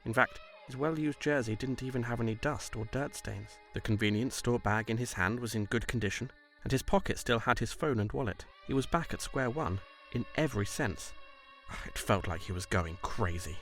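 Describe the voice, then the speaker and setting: A man reading scripted story narration in a measured, literary delivery. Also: mid-range voice, fairly bright tone, faint music behind.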